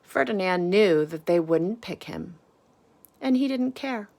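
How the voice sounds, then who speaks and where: A woman reading a children's storybook aloud with casual asides, in deliberate phrases with emphasis on key words. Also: on the low side, lively intonation, gravelly, darkish tone, a little hiss or hum. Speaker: storybook reader.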